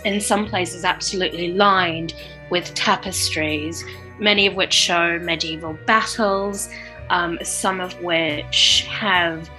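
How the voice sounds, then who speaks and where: A woman improvises descriptive narration in a slightly low, bright voice. Her phrasing is slow and hesitant, feeling its way along. Faint music plays behind her. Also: rough recording. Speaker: improv comedy podcast performer.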